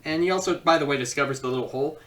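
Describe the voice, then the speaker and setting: A woman giving a casual, rambling, excitable comedic film review. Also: low-pitched, very fast, distant mic.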